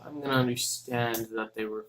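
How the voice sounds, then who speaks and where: A young man from Texas, a student, mutters to himself in a halting, casual way as he works through his notes. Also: medium pitch, fairly even intonation, a bit bright, a little hiss or hum. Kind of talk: casual study vlog, thinking aloud.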